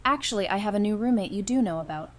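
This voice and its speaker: A woman's voice: mid-range voice, animated, quick pace, lightly acted. A woman voice-acting a wry, affectionate apocalypse survivor in an audio drama, casual and dryly teasing. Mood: wry, warm. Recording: noticeable background noise and ambience.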